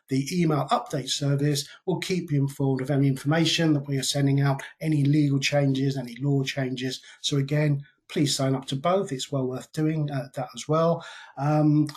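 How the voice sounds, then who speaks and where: A British man presenting an informational webinar, with a slightly higher voice than most men. He talks in a loose, conversational way, leaving frequent ums and uhs between points.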